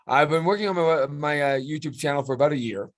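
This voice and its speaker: A man's voice: a little high, fast talker. A middle-aged man from Canada, a client talking casually on a video call, hesitant, with many restarts and fillers.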